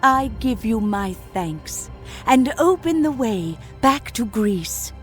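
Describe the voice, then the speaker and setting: An actress playing a gentle, sorrowful goddess of spring in a video game, speaking in a stately, formal manner. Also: female voice, a little high, sing-song, takes it slowly. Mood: solemn, grateful. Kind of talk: scripted game cutscene acting. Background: music.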